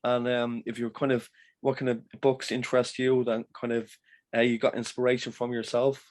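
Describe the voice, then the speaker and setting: A man's voice: mid-range voice, flat delivery, normal speed, darkish tone. A man with an Irish accent hosting a podcast interview, upbeat and encouraging, asking long, rambling questions. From Ireland. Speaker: podcast host. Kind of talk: casual interview.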